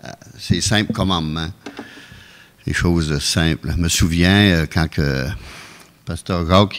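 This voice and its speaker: A man with a Québécois French accent preaching a calm, anecdotal testimony sermon in a church, chuckling often. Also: low-pitched. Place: church sanctuary. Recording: echoey church hall.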